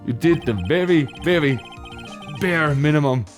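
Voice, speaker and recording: Man putting on a deep, ponderous old judge. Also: moderate pace, faint music behind, natural voice. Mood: grudging. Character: ponderous, elderly male courtroom judge. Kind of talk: comic amateur video game dramatic reading.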